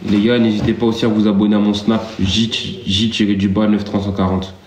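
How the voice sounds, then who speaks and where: A man's voice: lowish voice, level tone, a little fast, a little mellow, slight background noise. A man hosting a casual live stream, stammering and repeating himself with playful, theatrical outrage. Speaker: livestream host. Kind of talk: casual livestream chat. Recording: roomy, dull lo-fi sound. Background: music.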